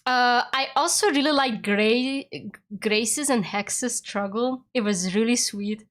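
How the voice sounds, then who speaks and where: Giggly young woman streamer. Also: a little high. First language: Hungarian. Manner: giggly, rambling, talks in quick excited bursts. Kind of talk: casual chat with viewers during a game stream.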